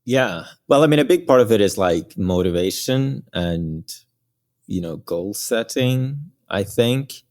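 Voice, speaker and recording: A man in his thirties, a guest answering interview questions in a relaxed, hesitant way with occasional chuckles. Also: lowish voice, a little slow. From Norway. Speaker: guest, company founder.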